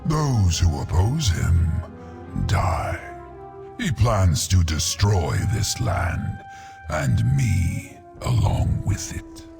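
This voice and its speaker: An actor playing an ancient, proud male dragon in a video game, speaking in a measured, imperious manner. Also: deep voice, very animated, creaky, over music, exaggerated voice. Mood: grim. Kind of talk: dramatic video game dialogue.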